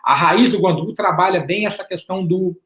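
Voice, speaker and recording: A man giving a slide presentation as an invited panelist in an online talk. He speaks in a halting, explanatory way, repeats words and often checks in with a questioning "right?". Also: sits high, fairly bright tone, a little hiss or hum. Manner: explanatory, measured. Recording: roomy echo on an online call.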